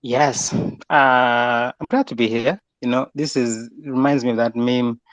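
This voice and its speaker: A man joining a casual audio chat as a guest, talking haltingly with many fillers. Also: medium pitch, steady tone, no room sound, low-quality recording.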